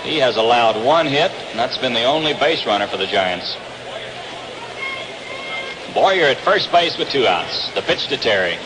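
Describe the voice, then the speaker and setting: A man's voice: medium pitch, animated, moderate pace, long pauses, crowd noise, background noise. An American man calling baseball play-by-play live on an old 1960s radio broadcast. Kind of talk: live radio sports commentary. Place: open-air ballpark broadcast position.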